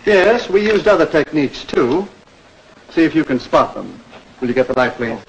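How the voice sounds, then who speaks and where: An actor playing a patient campaign mentor, explaining and probing with questions in a 1940s educational film. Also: male voice, on the high side, big pitch swings, normal speed, dull audio. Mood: instructive. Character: patient campaign speechwriter coaching a young learner. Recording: noisy old 1940s film soundtrack.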